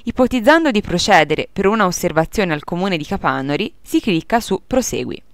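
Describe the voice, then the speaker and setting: A woman's voice: on the low side, very animated, fairly quick, no room sound. A woman reading a scripted instructional tutorial as narrator in a formal, procedural manner.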